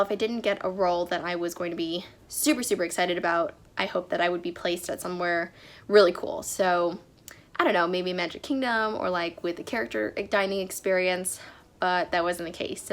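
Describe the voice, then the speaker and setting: A young woman vlogging casually, sounding a bit nasal from a cold, chatty with frequent fillers. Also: lowish voice. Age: young adult. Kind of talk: casual vlog talk.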